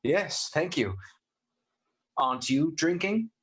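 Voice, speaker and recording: An actor playing a polite, earnest young gentleman in a radio play, speaking precisely and formally. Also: a little high, expressive, slow. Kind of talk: radio play acting with diary narration.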